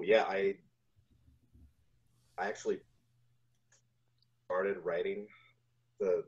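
Low-voiced man, muffled video-call sound. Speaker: guest, composer. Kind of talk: casual interview storytelling. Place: room at home, indoors, on a video call. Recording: dull, lo-fi video-call audio.

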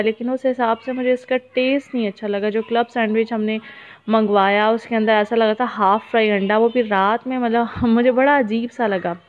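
Downcast Pakistani woman, muffled phone-like sound. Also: a little high. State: sad, downcast. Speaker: family vlogger. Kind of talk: casual vlog voice-over.

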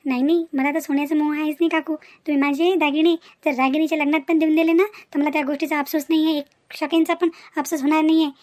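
A woman's voice: high-pitched, steady tone, quick pace, hardly any pauses, darkish tone, no echo, lightly acted. A woman playing an outspoken, lecturing young niece in a family cartoon. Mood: indignant. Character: outspoken young niece scolding dowry-seeking elders. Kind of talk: cartoon family drama dialogue.

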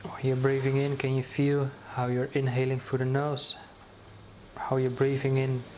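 A man leading a guided meditation, speaking slowly and soothingly with many pauses and restarts. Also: medium pitch, monotone, slight background noise, low-quality recording.

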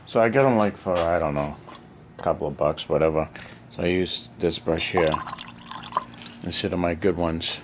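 A man's voice: low voice, normal speed, pauses often, background noise. A man in a cluttered basement hobby room, casually thinking aloud with fillers while he paints a model, picked up by a camera mic near his hands.

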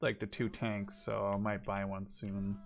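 A man's voice: fairly low pitch, flat delivery, measured pace, vocal fry, muffled recording. A man in his twenties talking casually as he plays, explaining things matter-of-factly. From Netherlands. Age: 20s. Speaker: gamer, video creator. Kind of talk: casual gameplay chat. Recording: gunfire and artillery game noise behind. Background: game battle sounds, artillery.

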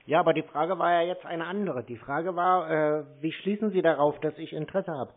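A man with a fairly high-pitched voice speaks over a thin, tinny phone line. He is skeptical and persistent, pressing the other side with challenging questions. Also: brisk. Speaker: prank callee confronting phone scammers. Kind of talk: phone conversation, probing questions.

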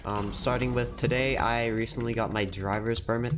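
A man's voice: medium pitch, fairly even intonation. A teenage boy with a stuffy nose from a cold, chatting casually and cheerfully while commentating his gameplay at a desk microphone. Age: teens. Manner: chatty, upbeat, quick laughs. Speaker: gaming YouTuber. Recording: music playing under the voice. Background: background music and keyboard typing.

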